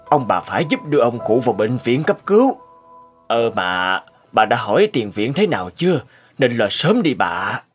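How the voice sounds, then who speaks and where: A man playing a good-hearted, worried elderly grandfather in a cartoon, speaking earnestly and haltingly. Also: mid-range voice, big pitch swings, slightly rough, soft background music. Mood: worried, urgent. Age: older. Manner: halting, earnest, swooping intonation. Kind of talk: cartoon dialogue acting.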